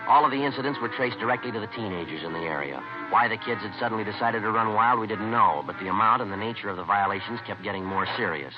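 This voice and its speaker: An actor playing a terse, matter-of-fact American police detective sergeant in a 1950s radio crime drama, clipped and deadpan. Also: male voice, on the low side, fast, hardly any pauses, natural voice. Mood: deadpan, factual. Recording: muffled old radio recording, music behind.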